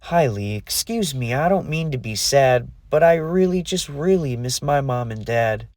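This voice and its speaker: A man voicing a shy, bookish young female dragon in a storybook, speaking softly and hesitantly. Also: medium pitch, lively intonation, normal speed, dry recording, close to an everyday voice. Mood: sad. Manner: soft, sad, hesitant. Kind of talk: storybook read-along voice.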